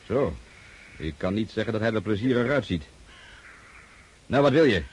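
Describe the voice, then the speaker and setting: An actor in a radio drama playing a curt, sceptical Cossack count and cavalry officer. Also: male voice, lowish voice, animated, normal speed, a little mellow, husky. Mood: brusque, sceptical. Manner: curt, questioning.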